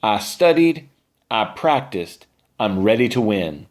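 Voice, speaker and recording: A man's voice: lowish voice, sing-song, slow. A man voicing an earnest, determined young witch girl in a children's storybook, declaring things resolutely. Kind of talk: children's storybook read-aloud.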